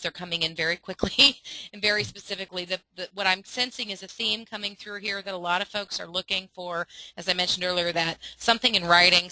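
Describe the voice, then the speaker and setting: Bright-voiced woman moderating a webinar. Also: lowish voice, no echo, low-quality recording. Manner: earnest, relays audience questions.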